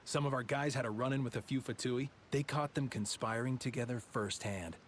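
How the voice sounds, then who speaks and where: A man's voice: mid-range voice, fairly quick, slight background noise, natural voice. A man voicing a suspicious, secretive soldier in a video game, with a firm, conspiratorial delivery. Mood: certain, suspicious. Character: suspicious, secretive male soldier, sure of himself.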